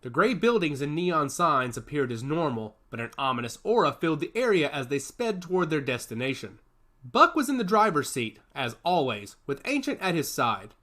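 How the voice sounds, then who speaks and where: Male narrator, expressive, lively pitch, bright, slightly high. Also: moderate pace. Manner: expressive storytelling. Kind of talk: audiobook narration.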